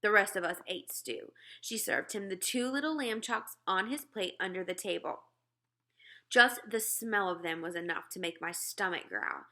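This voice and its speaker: A woman narrating a children's novel as an expressive read-aloud. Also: medium pitch, moderate pace.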